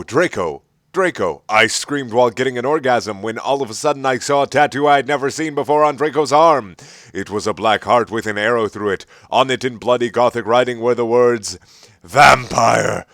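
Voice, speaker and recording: A man reads fan fiction aloud in a theatrical, mocking way. His voice is mid-pitched and sounds dry, with no room around it. He comes across as exasperated with the material. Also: steady tone, normal speed, a bit bright, no echo. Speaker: reader of a fan fiction story. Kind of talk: mocking dramatic reading of amateur fiction.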